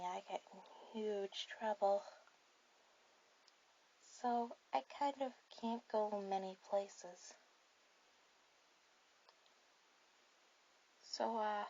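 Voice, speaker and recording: A woman voicing a shy, sweet, bookish girl on a first date in an ASMR roleplay, speaking softly and hesitantly to the listener. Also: medium pitch, muffled recording, slight background noise, natural voice. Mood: bashful, hesitant. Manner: soft, hesitant, halting delivery.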